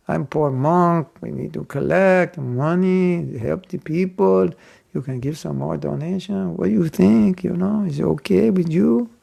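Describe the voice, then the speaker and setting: A man's voice: on the high side, creaky, darkish tone, voice pushed up, heavily acted. A man voicing a pushy, broke male monk who begs for donations in broken English with a put-on Spanish accent. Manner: wheedling, insistent, broken English.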